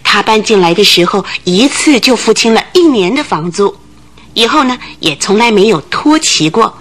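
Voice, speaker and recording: A woman's voice: medium pitch, animated, normal speed, fairly bright tone. An actress in a Chinese radio drama playing a thin, fidgety, gossipy landlady of about forty, agitated and full of exclamations. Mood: explaining, chatty.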